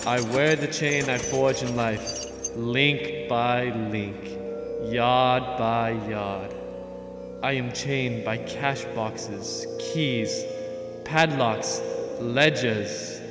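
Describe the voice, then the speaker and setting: An actor in a radio drama playing a mournful, chained ghost who gives solemn, ominous warnings in drawn-out declamation. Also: male voice, mid-range voice. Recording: cavernous long reverb under music.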